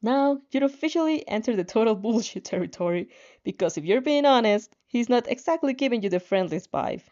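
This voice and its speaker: A woman reading fan fiction aloud in an animated way, now and then stumbling and rereading a phrase, on a slightly dull recording. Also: fairly high pitch, fairly quick. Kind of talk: animated story reading with asides.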